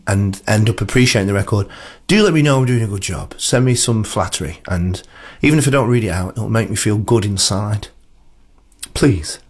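A man's voice: lowish voice, slightly rough. A man presenting a music show on the radio in a casual, chatty, rambling way, with playful self-deprecation.

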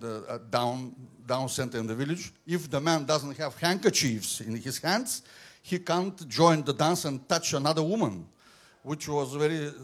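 Bulgarian male saxophonist, halting storyteller, medium pitch.

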